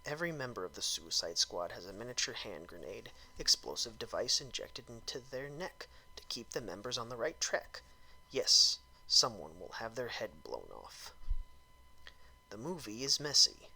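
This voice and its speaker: Man, very bright forceful voice, raspy, theatrical, exaggerated emphasis, comedic dramatic reading. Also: medium pitch, big pitch swings, normal speed, low-quality recording. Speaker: performer reading out a film review.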